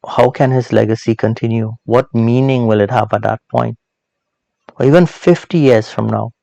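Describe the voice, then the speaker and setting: Man teaching reflectively in a gravelly voice. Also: mid-range voice, darkish tone, no room sound, rough recording. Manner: deliberate, emphatic, with repeated phrases and rhetorical questions. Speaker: host of a Bible proverbs teaching series. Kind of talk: reflective, sermon-like informal teaching.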